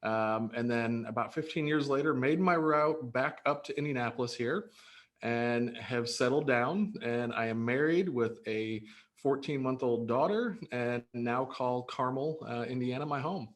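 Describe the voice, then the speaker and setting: A man's voice: mid-range voice, a little slow. A man in his thirties, born in Greece, answering interview questions about his real estate business in an upbeat, earnest way, with many fillers and restarts. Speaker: real estate broker, guest.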